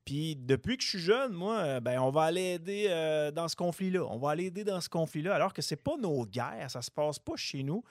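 A man's voice: a little high, animated, a little fast, hardly any pauses, no echo, clean studio sound. A man in his forties with a Québécois accent, hosting a casual interview and asking questions in a hesitant, conversational way. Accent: Québécois French. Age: 40s. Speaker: host.

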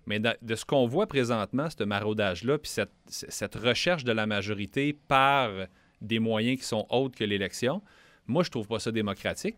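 A man's voice: on the low side, a bit bright, dry recording. A man with a Quebec French accent, a member of parliament giving a casual radio interview, earnest and often repeating words as he starts sentences. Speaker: federal member of parliament, guest.

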